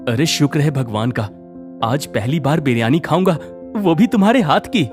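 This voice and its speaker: An actor playing a kind-hearted, expressive working husband in an audio story. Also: male voice, on the high side, normal speed, soft background music, close to an everyday voice. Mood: delighted.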